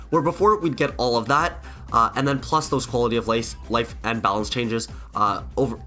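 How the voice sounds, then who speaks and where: A man's voice: mid-range voice, a bit bright. A man, a gaming YouTuber with a cold, talks earnestly through his opinions with frequent restarts. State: sick with a cold, voice affected. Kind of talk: scripted-ish commentary to camera.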